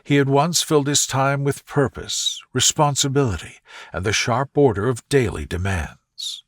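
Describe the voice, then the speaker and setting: A man narrating a soothing scripted bedtime story in a gentle, unhurried way, with a soft, breathy voice that eases down at the ends of phrases. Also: medium pitch, dry recording, clean studio sound.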